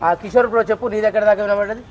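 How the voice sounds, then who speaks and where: A man's voice: sits high, steady tone, racing, faint music behind. A man chatting casually as he leads a group, directing and questioning the others and mixing in English words. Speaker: vlogger leading a group test of walkie-talkies.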